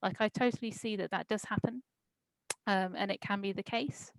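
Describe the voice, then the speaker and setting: A woman speaking at a conference, answering questions in a thoughtful, measured way with frequent pauses and ums. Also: medium pitch, fairly even intonation, gravelly, very noisy. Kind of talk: Q&A discussion.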